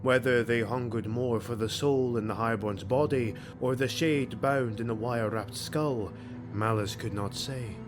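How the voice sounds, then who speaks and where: A man's voice: medium pitch, measured pace. A man narrating a fantasy audiobook in a grave, theatrical, dramatic scripted style. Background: music.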